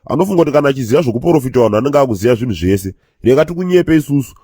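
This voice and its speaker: A man's voice: mid-range voice, expressive, racing, mellow, breathy edge, dry recording, clean studio sound. A man with a Zimbabwean accent voicing a grumbling, mocking character who banters with friends in a comedy cartoon. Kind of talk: animated comedy dialogue, slangy banter.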